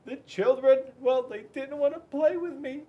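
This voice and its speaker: A man's voice: extremely high pitch, a little slow, distant mic, highs cut off, voice pushed up. A man voicing a sheepish, sad young girl dinosaur for a children's storybook, speaking in a hesitant, pleading way.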